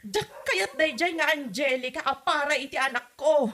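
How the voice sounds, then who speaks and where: A woman's voice: high-pitched, normal speed, a bit bright. A woman with an Ilocano accent playing a stubborn, meddling, disapproving mother in a comedy drama, scolding and exclaiming as she talks. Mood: stubborn, complaining. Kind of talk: comedy radio-style drama acting.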